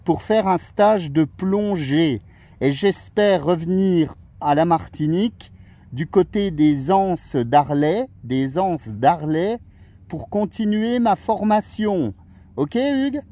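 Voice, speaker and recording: A man's voice: high voice, animated, takes it slowly, dark tone. A man who works as an amateur radio operator, chatting casually and often repeating his words and phrases. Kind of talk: casual radio contact chat.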